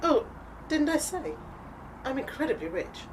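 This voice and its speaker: A woman's voice: sits high, very animated, unhurried, background noise. A British woman playing a rich, eccentric, flippant woman adventurer in an audio drama, posh and theatrical. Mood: coaxing, carefree. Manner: flippant, theatrical, genteel endearments.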